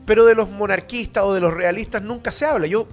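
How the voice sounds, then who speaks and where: High-pitched, blunt Chilean man on radio. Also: quick pace, faint music behind. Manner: opinionated, blunt, colloquial, with rhetorical questions and tag questions. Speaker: radio history show host, academic. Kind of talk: informal history lecture on radio.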